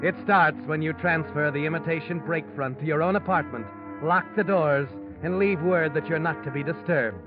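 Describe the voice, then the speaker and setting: An actor playing a sinister, all-knowing narrator of a 1940s radio mystery, taunting the guilty man in a deliberate, dramatic way. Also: a little high, natural voice. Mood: ominous, pressing. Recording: muffled old radio recording with loud music.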